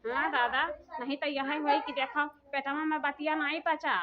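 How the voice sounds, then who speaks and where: A woman's voice: sits high, steady tone, normal speed, crowd noise, lightly acted. A woman voicing a poor, aggrieved village daughter-in-law in a comedy cartoon, complaining plaintively in rural dialect. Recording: dull, muffled audio.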